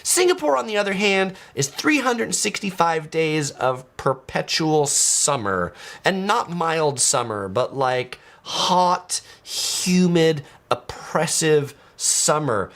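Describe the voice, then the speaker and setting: American male travel vlogger, animated pitch, loose chatty delivery with lots of fillers; live-stream host reading comments. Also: takes it slowly. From San Diego, California, USA.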